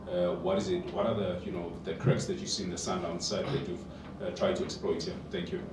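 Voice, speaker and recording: A man's voice: deep voice, level tone, a little fast. A man asking a wordy, circling question as a reporter at a press conference. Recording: echoey noisy room, distant mic.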